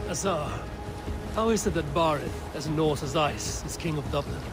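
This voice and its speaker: An actor playing a blunt, commanding Viking warrior in a video game, speaking in terse, direct, wary lines. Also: male voice, a little high, normal speed, loud music behind the voice, natural voice. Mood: curious, reflective. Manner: terse, direct, plain-spoken. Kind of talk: video game cutscene acting.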